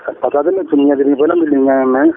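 A middle-aged man with an Indian Punjabi accent on a tinny, hissy phone line. His high-set voice swoops around as he rambles insistently, repeating himself in private conversation. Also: very animated, fast talker, vocal fry. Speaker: caller, father-in-law. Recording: noisy, tinny recorded phone call.

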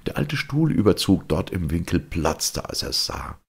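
A male fairy-tale narrator with a very low, gravelly voice. He reads expressively, with wide, animated intonation. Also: unhurried. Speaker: storyteller, audiobook reader.

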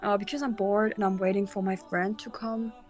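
A woman's voice: mid-range voice, little pitch movement, fairly quick, a little mellow. An eighteen-year-old woman, chatting in an easygoing, friendly way with a stranger on a video call. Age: teens. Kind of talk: casual video chat. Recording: faint music underneath.

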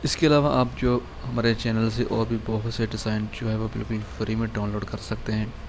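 Low gravelly man explaining a design file. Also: background noise. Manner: calm, unhurried explaining with many fillers. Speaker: channel host showing a design file. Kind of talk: screen-recorded software tutorial.

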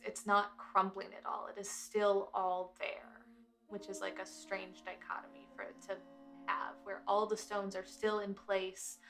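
A woman describes a scene as a player in a tabletop game. Her voice is flat in intonation and medium in pitch, and she improvises in short, measured phrases with pauses. Soft music plays faintly behind her. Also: creaky.

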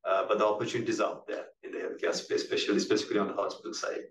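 A Sri Lankan man gives a measured, halting business briefing in a flat tone. He sounds like an executive taking questions, often doubling back on words. The sound is distant and roomy, with noise behind him. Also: lowish voice. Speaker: company executive on an earnings call. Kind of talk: business presentation, answering questions. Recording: roomy, distant mic with clear background noise.

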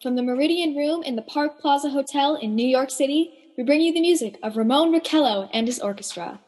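A woman's voice: sits high, a little fast, darkish tone, lightly acted. A woman playing a genteel presenter of dance music in a 1930s-style radio play, reading scripted introductions. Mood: genteel, presenting. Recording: long hall-like reverb.

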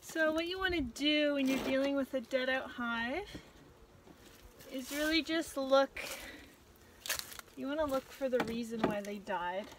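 A woman's voice: sits high, background noise. A young woman in her twenties, chatting casually as she explains hive work in a backyard, recorded outdoors on a nearby camera with music underneath. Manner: unhurried, chatty, gasps with dismay at finds. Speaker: beekeeping vlogger. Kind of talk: casual how-to narration while working. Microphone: camera on a stand nearby, later handheld.

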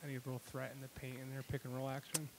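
A man's voice: medium pitch, flat delivery, quick pace, slight background noise, rough recording. A man asking an analytical question as a reporter at a press conference.

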